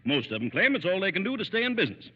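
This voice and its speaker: An actor playing a brusque, pompous older judge and employer in an old radio drama, speaking with declamatory authority. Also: male voice, high voice, very animated, rapid-fire, husky, full character voice. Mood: pompous, solemn. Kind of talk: 1930s-40s radio acting. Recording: muffled vintage radio recording, echoey.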